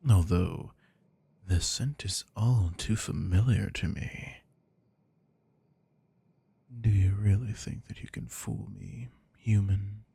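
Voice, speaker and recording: A man voicing an arrogant aristocratic male vampire lord in an ASMR audio roleplay, speaking in a slow, low, menacing seductive murmur. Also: fairly even intonation, gravelly, dark tone, husky, exaggerated voice.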